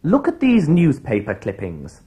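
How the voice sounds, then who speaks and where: An actor playing a keen, confident schoolboy detective in a read-along audio drama. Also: male voice, a little high, huge pitch swings, measured pace, mellow, lo-fi sound. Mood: earnest, determined.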